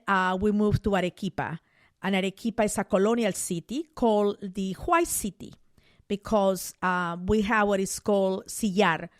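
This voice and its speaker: Middle-aged peruvian woman, measured explainer on a podcast, dry close sound. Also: medium pitch, no echo. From Peru. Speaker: guest author. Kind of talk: podcast interview.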